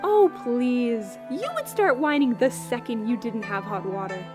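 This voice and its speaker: A woman's voice: a little high, unhurried, music in the background, close to an everyday voice. A woman acting a practical, loyal, dry-witted young servant in a fan-made visual novel. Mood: resigned, teasing.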